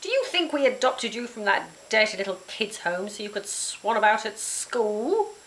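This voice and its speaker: A woman voicing a menacing, sharp-tempered old witch aunt in a storybook, imperious and scolding. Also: medium pitch, big pitch swings, moderate pace, slight background noise, voice pushed up, poor audio. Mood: angry, menacing.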